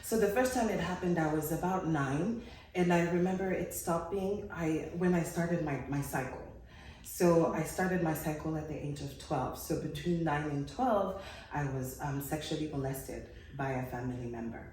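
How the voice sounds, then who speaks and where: A woman from the Democratic Republic of Congo, a guest on a talk show, calmly telling her personal story in a measured way. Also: very echoey, distant mic, slight background noise, low-quality recording. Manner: calm, measured, speaks of hard memories in a low steady voice.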